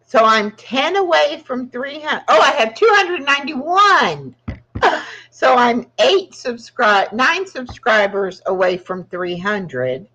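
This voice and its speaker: A woman's voice: medium pitch, fairly bright tone. A woman in her sixties hosting her own channel, chatting casually and rambling with frequent laughs. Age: 60s. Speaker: channel host. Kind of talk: casual live chat. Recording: dull lo-fi sound.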